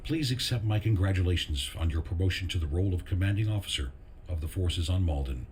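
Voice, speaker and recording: Weary deep-voiced army major. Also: male voice, animated, a little mellow, slight background noise. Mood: solemn, formal. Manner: solemn, measured, weary. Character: weary, grieving army major reading his farewell letter. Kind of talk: dramatic scripted monologue in a military video game. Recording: music under the voice.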